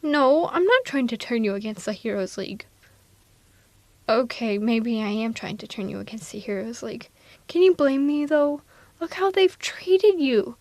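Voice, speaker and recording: A woman's voice: fairly high pitch, animated, moderate pace, no room sound. A woman playing a blunt but protective and caring female supervillain in a casual, wry, conversational ASMR audio roleplay. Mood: indignant, protective. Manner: casual, wry, reassuring, exasperated.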